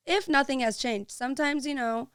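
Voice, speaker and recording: Smooth-voiced woman podcast host. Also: high voice, moderate pace, a bit bright, dry recording, clean studio sound. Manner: earnest, chatty, many "like"s. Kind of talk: casual chat.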